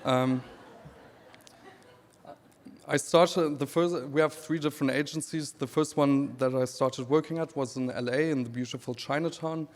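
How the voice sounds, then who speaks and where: A man in his thirties from Namibia with a German accent, giving a relaxed conference talk with dry humor and many pauses. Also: mid-range voice. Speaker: speaker presenting his creative work. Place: event hall. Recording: reverberant hall.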